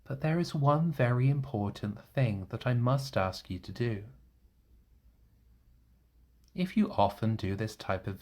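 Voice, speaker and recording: A man leading a guided meditation in a gentle, reassuring manner, leaving long pauses between short phrases. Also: medium pitch. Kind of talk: guided meditation, soothing instruction.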